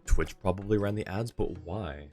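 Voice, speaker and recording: A man's voice: low voice, moderate pace, darkish tone. A slightly tired man chatting casually and politely with viewers as a live game streamer. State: a little tired. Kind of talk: casual chat with viewers while gaming. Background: faint game music.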